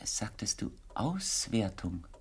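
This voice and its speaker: Urbane young gentleman nephew, 1960s radio play. Low, animated, slightly breathy; polite on the surface, ironic underneath. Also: takes it slowly, full character voice. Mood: hesitant, ironic. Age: young adult. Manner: courteous, mannered, hesitantly ironic.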